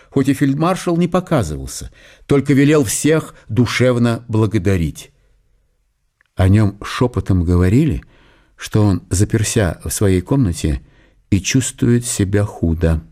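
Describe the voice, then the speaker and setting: A man reading literary narration for an audio drama in a measured, storytelling manner. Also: mid-range voice, dark tone.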